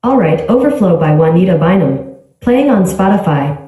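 A synthetic woman's voice from a smart speaker, giving even, polite, clipped replies. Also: lowish voice, normal speed. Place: small tiled bathroom. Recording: echoey bathroom, heard from a distance.

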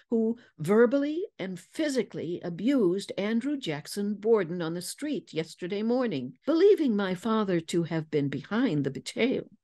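A woman's voice: mid-range voice, dark tone. A woman voicing a confident, shrewd woman detective in a declamatory storybook reading, self-assured in her explanations.

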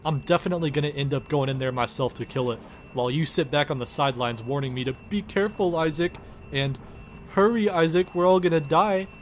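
A man's voice: a little high, a little fast, slight background noise. A man performing an exasperated, foul-mouthed space engineer in a comedic game parody, with a sarcastic, ranting delivery. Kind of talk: comedic scripted parody dialogue. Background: game music.

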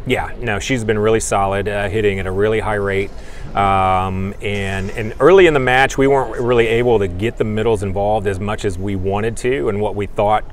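A man in his forties, a coach giving a measured postgame interview on a lapel mic, pausing with fillers. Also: fairly low pitch, fairly even intonation, a little hiss or hum. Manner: measured, thoughtful, many fillers. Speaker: volleyball coach. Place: indoor gym backdrop.

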